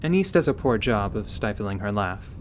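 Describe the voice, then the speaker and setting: A man's voice: fairly low pitch, sing-song, normal speed, slight background noise. A man reading scripted story narration for an audio drama.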